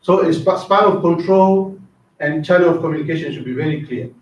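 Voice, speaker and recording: A man's voice: a little high, fairly quick. Man lecturing in an echoey room. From Zambia. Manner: asks the class questions, repeats words, drops in "right?" often. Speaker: trainer. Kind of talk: classroom lecture. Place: training classroom. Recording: echoey room, distant mic, dull sound.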